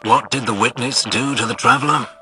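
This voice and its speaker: A man's voice: mid-range voice, steady tone, fairly quick, lightly acted. A man playing a dry, courteous, well-spoken military scout and marksman in a video game. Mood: wry, measured. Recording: music behind the voice.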